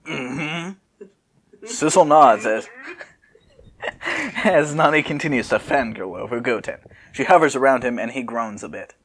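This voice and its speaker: A man reads a story aloud in a lively, swooping voice with a gravelly edge. He is casual and giggly, slipping out of narration into joking asides as he co-hosts. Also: mid-range voice, normal speed, pauses often. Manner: giggly, easily breaks out of narration. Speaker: co-host reading fan fiction aloud. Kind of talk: casual amateur dramatic reading with joking asides.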